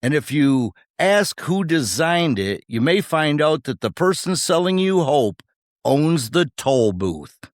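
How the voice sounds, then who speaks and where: A man's voice: a little high, sing-song, takes it slowly, no room sound, clean studio sound. A man co-hosting a podcast, delivering scripted commentary in short, punchy rhetorical phrases with wry humour. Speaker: podcast co-host.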